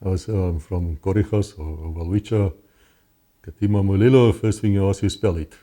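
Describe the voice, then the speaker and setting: A man's voice: low-pitched, moderate pace, dark tone. A man with a South African accent, telling his life story as an interview guest, earnest and often restarting his words. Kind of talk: personal testimony in conversation.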